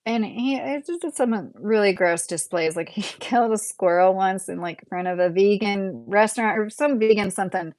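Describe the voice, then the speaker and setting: A woman's voice: mid-range voice, normal speed, few pauses, darkish tone, no room sound. A woman in her late thirties with a Midwestern American accent, hosting a casual livestream and answering viewer comments in a rambling, conversational way. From Southern Indiana, USA. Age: late 30s. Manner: rambling, conversational, breaks into chuckles. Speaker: YouTube livestream host.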